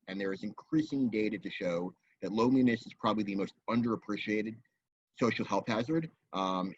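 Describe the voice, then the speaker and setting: A man speaking as a guest expert in a roundtable discussion, measured and data-minded, with frequent ums. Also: on the low side, husky. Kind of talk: explanatory discussion. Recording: dull lo-fi audio.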